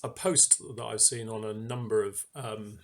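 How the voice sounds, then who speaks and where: A man giving an informal sermon reflection in a hesitant, halting way, pausing mid-phrase. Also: fairly low pitch, fairly even intonation. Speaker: church speaker giving a reflection.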